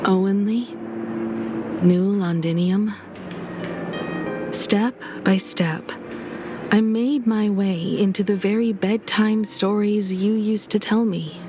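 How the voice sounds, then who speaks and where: A woman voicing a composed, melancholy and quietly resolute woman in a dramatic video game scene. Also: medium pitch, fairly even intonation, over music, close to an everyday voice. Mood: wistful. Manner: measured, solemn.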